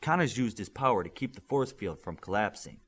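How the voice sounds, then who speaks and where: A man reading an audiobook aloud, with steady, expressive storytelling. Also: lowish voice, brisk, husky. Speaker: audiobook reader. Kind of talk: audiobook narration.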